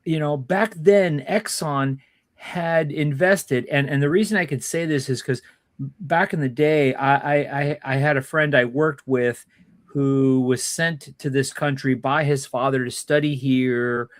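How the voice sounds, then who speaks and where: A man's voice: mid-range voice, steady tone, measured pace, dry recording, studio quality. A man hosting a casual political chat, explaining history at length in a rambling way. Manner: rambling explainer, many fillers and restarts.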